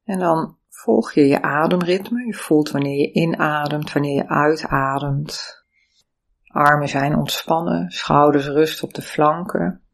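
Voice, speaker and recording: A meditation teacher giving calm, soothing, step-by-step guidance through breathing and body awareness. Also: medium pitch, a little slow, creaky. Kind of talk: guided meditation instruction.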